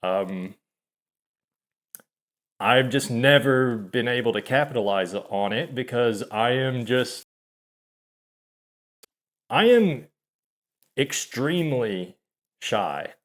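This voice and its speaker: A man in his thirties talking to camera in a confessional monologue, halting and hesitant, often trailing off and restarting, soft-spoken and self-deprecating. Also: mid-range voice, animated. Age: 30s. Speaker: channel host.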